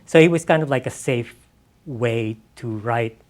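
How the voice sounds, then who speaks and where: A man's voice: medium pitch, unhurried, a little mellow. A middle-aged man from the Philippines, a writer talking casually and reflectively at a live event. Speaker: writer, interview guest. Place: live public literary event.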